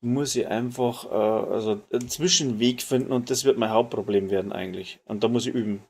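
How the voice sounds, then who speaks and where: A man from Bavaria with a Bavarian accent, chatting casually and colloquially as he streams a video game, softening his dialect toward standard German. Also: medium pitch, steady tone, fairly quick, gravelly, breathy edge. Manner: colloquial, dialect-tinged, mild swearing. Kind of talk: casual chat while gaming.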